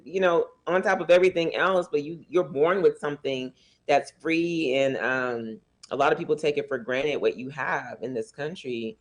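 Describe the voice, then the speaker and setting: A man from Liberia speaking earnestly as a guest on a talk show, with many fillers. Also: mid-range voice. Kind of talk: casual interview talk.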